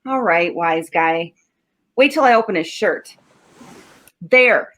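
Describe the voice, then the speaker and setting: A woman's voice: lowish voice, animated, slow, a bit bright. A woman playing a stubborn, sarcastic male homicide cop with slangy tough talk in 1940s radio style. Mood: sardonic.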